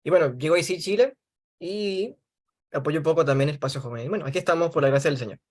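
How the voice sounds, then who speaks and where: A man's voice: on the high side, fast talker. A man from Venezuela with a Venezuelan accent, a church elder chatting and then praying. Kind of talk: casual introduction and spoken prayer.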